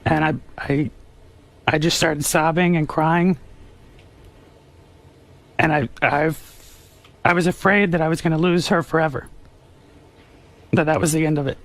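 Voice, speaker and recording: A young man with a somewhat high voice recounts something personal in a radio interview. His delivery is earnest and halting, like careful confession. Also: fairly even intonation, a bit bright.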